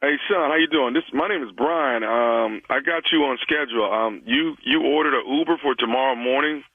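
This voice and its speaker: A man playing a polite, hesitant hearse driver on a prank phone call, halting yet matter-of-fact and reassuring. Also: medium pitch, no room sound, natural voice. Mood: polite, businesslike. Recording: dry, dull phone-call audio.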